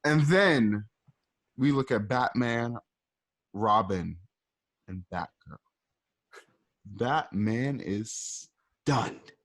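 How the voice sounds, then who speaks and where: Brief: playful male narrator, husky, lively pitch, slow and chatty. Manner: chatty asides, laughing into lines. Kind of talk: casual, playful radio drama narration.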